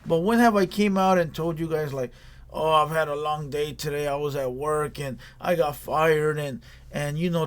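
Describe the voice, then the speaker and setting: Blunt higher-voiced man giving reaction commentary. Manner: blunt, repetitive, slangy lecturing. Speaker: reaction video host.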